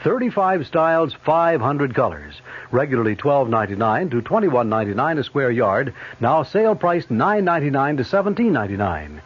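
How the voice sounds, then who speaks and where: A man's voice: medium pitch, big pitch swings, a little fast, breathy edge. A man working as a radio station announcer, reading scripted advertisements and programme promos. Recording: dull old radio broadcast.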